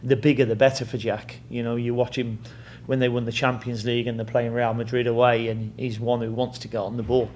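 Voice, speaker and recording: English football coach with a flat voice. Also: male voice, medium pitch, fairly quick, hardly any pauses, slight background noise. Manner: plain-spoken, repeats words while thinking, lots of "you know". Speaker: head coach. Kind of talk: press conference answers.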